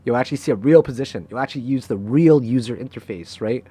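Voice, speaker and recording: A man's voice: medium pitch, quick pace, dark tone, slightly rough. A young man, a crypto trader and channel host, giving a candid motivational talk to camera, frank and emotional, swearing freely and often tagging "right?".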